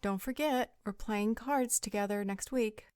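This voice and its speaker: A woman playing a level-headed, compassionate female peacemaker, gentle and conciliatory, in a scripted roleplay audio drama. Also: mid-range voice, unhurried, clear, resonant tone, no echo, clean studio sound, natural voice. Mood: friendly.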